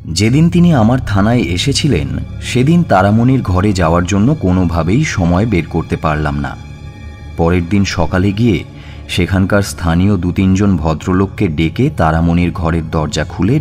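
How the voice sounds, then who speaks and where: An actor playing an authoritative police inspector who narrates an audio story in a measured, deliberate way. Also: male voice, deep voice, a little mellow. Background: music.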